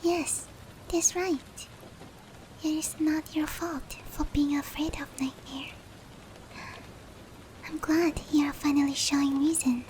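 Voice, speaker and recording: A soft, breathy female demon played by a woman whispers tenderly in a high voice. She is caring and reassuring, in the close, intimate style of roleplay ASMR. Light rain noise runs behind her. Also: little pitch movement, slow, long pauses, rough recording. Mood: soothing, reassuring. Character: gentle, caring female sleep paralysis demon comforting a human.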